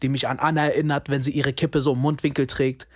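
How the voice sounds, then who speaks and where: A man's voice: mid-range voice, flat delivery, fast talker, lightly acted. An actor in a radio drama playing a young man at his estranged father's funeral, telling it in a bitter, wry inner monologue. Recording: spoken over loud music. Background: loud guitar music.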